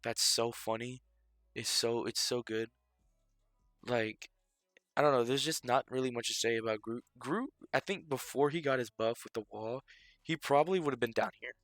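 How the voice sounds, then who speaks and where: Young male streamer, mid pitch, dry close mic, a bit stuffy from a cold, loose filler-heavy ramble. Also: fairly bright tone, no echo. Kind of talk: casual rambling stream commentary.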